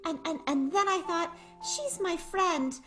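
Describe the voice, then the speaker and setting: A woman playing a shy, lovestruck vaudeville performer in an improvised radio play, halting and stammering. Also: very high-pitched, fairly bright tone, soft background music. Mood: tender. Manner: hesitant, stammering.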